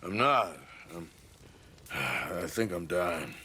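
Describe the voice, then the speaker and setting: An actor playing a weary, dying outlaw gunslinger with a gruff American Western accent, slow and plain-spoken in a dramatic video game scene. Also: male voice, low voice, lively intonation, pushed and bright, slight background noise, exaggerated voice. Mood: subdued, regretful.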